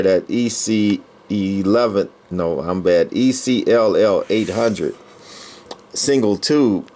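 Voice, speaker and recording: A man giving a casual, halting technical show-and-tell as a hobbyist collector, rambling with frequent pauses. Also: on the low side, darkish tone. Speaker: vintage radio collector presenting a radio on the bench.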